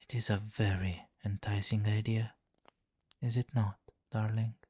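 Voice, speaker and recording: A domineering vampire king, acted by a man for an ASMR roleplay, speaks very slowly in a low voice. He is seductive and condescending, giving soft commands in a hypnotic, possessive murmur. The delivery stays measured and close to a whisper, with little rise or fall. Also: little pitch movement, slightly rough, no room sound. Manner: slow, coaxing, possessive, softly commanding, often near whisper.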